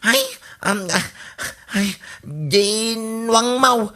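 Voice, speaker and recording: A woman playing a flustered, excitable village woman who stammers and blurts things out in a comedy radio drama. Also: a little high, extremely slow, full character voice.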